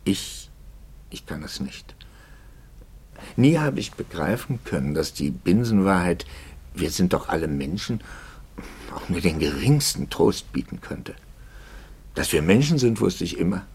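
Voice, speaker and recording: Brooding low-voiced priest, husky and gravelly. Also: male voice, very animated, a little slow, pauses often, darkish tone, exaggerated voice. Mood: earnest, pained. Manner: brooding, intense, often dropping to near whisper. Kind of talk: 1950s German radio play acting.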